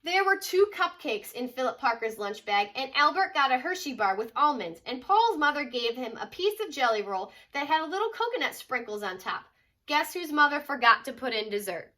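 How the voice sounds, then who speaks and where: A woman's voice: high voice, big pitch swings, moderate pace, pushed and bright. A woman reading a children's picture book aloud as a teacher would, expressively and with dramatic emphasis on key words.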